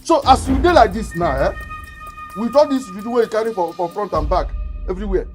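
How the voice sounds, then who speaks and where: A woman's voice: medium pitch, animated, brisk, a little mellow, lightly acted. A woman with a Nigerian accent playing a fiery young woman who scolds emphatically. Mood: scolding. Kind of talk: comic skit acting. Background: music.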